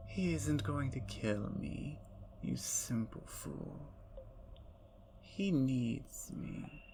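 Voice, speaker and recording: A woman voicing a gravelly, teasing vampire. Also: rumbling low, expressive, extremely slow, background noise, heavily acted. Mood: menacing. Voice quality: soft whispers. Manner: teasing, taunting. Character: playful, menacing captured female vampire. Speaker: ASMR roleplay performer. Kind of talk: ASMR roleplay.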